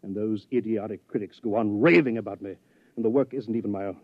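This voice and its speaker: Anxious earnest scholar, stammering, courteous, fifties radio play, dry muffled recording. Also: male voice, lowish voice, fast talker, no room sound. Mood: earnest, agitated. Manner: hesitant, stammering, polite, flustered. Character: fretful, earnest scholar from the future stranded in time. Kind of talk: 1950s radio drama acting.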